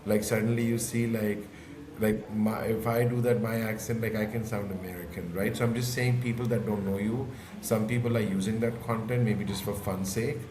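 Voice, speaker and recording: A man's voice: fairly low pitch, steady tone, normal speed, crowd noise. A man explaining his view as a panel guest. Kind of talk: panel discussion. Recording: echoey room with noise.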